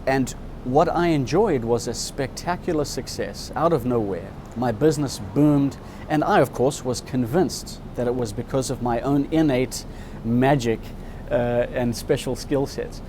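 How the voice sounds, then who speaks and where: A man's voice: medium pitch, measured pace, a little mellow, a little hiss or hum. A man in his fifties from Zimbabwe, telling his life story in an interview in a candid, reflective way. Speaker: interviewee, subscriber.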